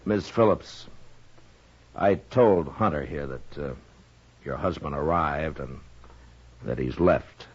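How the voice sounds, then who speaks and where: Very deep, slow-talking western marshal. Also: male voice, slightly rough, full character voice. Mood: grave, measured. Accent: American. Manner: halting, measured, understated. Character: gruff, deliberate middle-aged male US marshal of the Old West. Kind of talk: 1950s western radio drama acting. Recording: muffled vintage 1950s radio recording.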